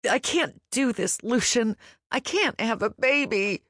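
A woman's voice: medium pitch, moderate pace, no room sound, studio quality, voice pushed up, close to an everyday voice. A woman voicing a stubborn, fiery young queen who talks with defiant emotion. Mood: despairing.

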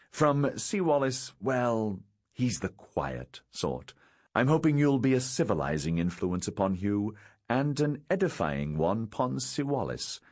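A man's voice: deep voice, husky, full character voice. A man playing a brash, jovial naval captain and father in an audiobook, barking orders and exclaiming heartily. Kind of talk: audiobook character acting.

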